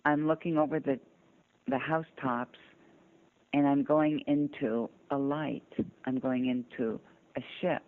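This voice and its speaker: A woman's voice: rumbling low, steady tone, unhurried. Older woman on a narrow phone line. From southern United States (Georgia, North Carolina). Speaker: interview guest. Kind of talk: personal storytelling in conversation. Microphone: telephone.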